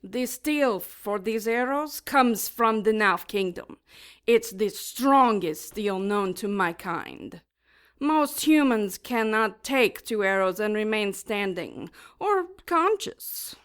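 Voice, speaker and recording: An actress playing a taunting, smugly sadistic female demon in an audio drama, making mock-polite threats with deliberate menace. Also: mid-range voice, animated, fairly bright tone, clear, resonant tone.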